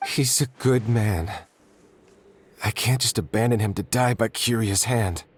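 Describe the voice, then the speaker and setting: An actor playing a loyal, stubborn, distressed young man in a video game, speaking earnestly and haltingly. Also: on the low side, slight background noise, lightly acted. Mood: disbelieving, insistent. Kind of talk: scripted video game dialogue.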